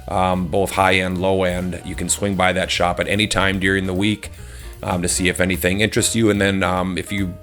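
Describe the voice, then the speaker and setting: A man with a slightly hoarse voice casually chatting as he opens card packs on his channel, relaxed and rambling with frequent ums. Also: sits low. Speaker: host of a card-opening channel. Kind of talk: casual chat while opening card packs.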